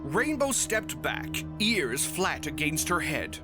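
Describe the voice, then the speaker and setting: A man's voice: on the high side, very animated, slow pace. A man reading scripted story narration as the narrator of a fan fiction tale. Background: music.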